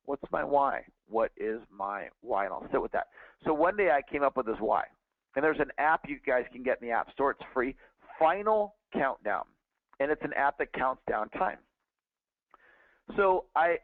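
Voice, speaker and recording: A man's voice: on the high side, no echo. A man in his forties, a sales trainer, talks earnestly and conversationally, often restarting his sentences. His voice comes through a narrow, tinny phone line. The sound is dry, with no room around it. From California. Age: 40s. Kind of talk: motivational teaching call.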